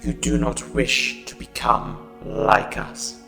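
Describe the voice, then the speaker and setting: A man playing a dying, mournful Cyberman in a fan audio drama, speaking in halting, solemn phrases with a gravelly robotic monotone. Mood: sorrowful. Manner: slow, halting, solemn delivery in short phrases. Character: dying Cyberman, damaged male cyborg, mournful and pleading. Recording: long reverb, music underneath.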